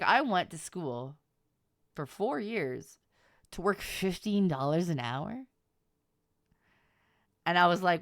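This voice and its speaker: A woman talks casually to her livestream viewers. Her pitch moves around a lot as she makes her points emphatically and swears freely. The sound is close and dry, with little room in it. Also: dry recording. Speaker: VTuber streamer.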